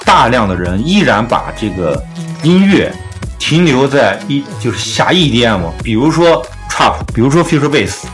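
A man's voice: medium pitch, sing-song, normal speed, fairly bright tone. A man hosting a casual music podcast, talking through his opinions in an informal discussion.

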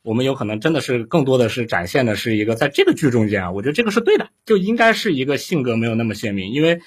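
Husky mid-pitched man, halting delivery. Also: big pitch swings. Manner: halting, repeats phrases to explain. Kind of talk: conversational panel discussion.

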